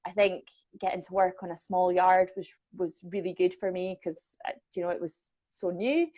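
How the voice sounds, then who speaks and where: A woman's voice: lowish voice, moderate pace, dark tone, dry recording. A young Scottish woman from the Borders, a welfare manager chatting casually and self-deprecatingly with lots of ums. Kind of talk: casual chat. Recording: dull lo-fi sound.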